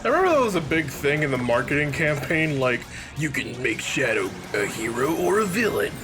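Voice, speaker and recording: A man's voice: fairly high pitch, big pitch swings, a little fast. A man giving casual game commentary as a co-commentator on a let's play. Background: game music.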